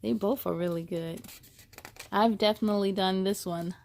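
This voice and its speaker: A woman's voice: mid-range voice, creaky, a little hiss or hum. A woman hosting a children's story time, reading a picture book expressively and adding chatty asides and questions for young listeners. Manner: animated, drawn-out delivery with interjections and questions to listeners. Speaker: children's read-aloud host. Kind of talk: expressive storybook read-aloud with friendly asides to young viewers.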